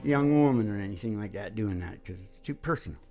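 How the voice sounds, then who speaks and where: A man's voice: fairly low pitch, expressive, fast talker, dull audio. A man chatting casually and ramblingly between songs as host of a live music show, folksy and often chuckling.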